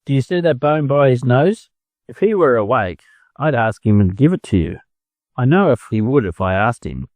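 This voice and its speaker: A man's voice: medium pitch, very animated, normal speed, a little mellow, no room sound, natural voice. Boastful Australian chicken, voiced by a man. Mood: bragging. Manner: bragging and curt. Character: boastful, snappish young male farm chicken.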